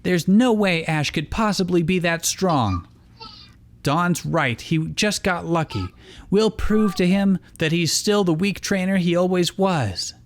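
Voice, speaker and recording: Man putting on a high-pitched, fiery young woman. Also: a little slow. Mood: scornful. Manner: irritable, snarling retorts. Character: fiery, spiteful young woman Pokemon trainer, quick to rage.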